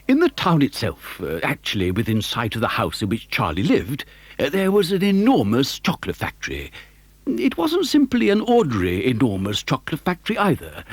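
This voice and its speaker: A man's voice: medium pitch, wildly swooping pitch, moderate pace, slightly rough, no room sound, heavily acted. An actor playing an exuberant, boastful chocolate maker who tells a children's story theatrically, savouring his words. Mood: relishing, dramatic. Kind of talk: dramatised children's story narration.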